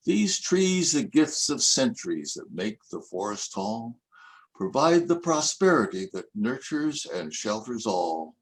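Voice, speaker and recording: An older man in his seventies reading his own poems aloud, with measured, expressive recitation. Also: medium pitch. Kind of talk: poetry reading at an event.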